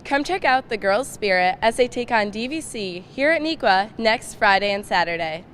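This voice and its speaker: A woman student reporter reading a scripted news story in a steady, even way. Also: medium pitch, normal speed. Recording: noticeable background noise.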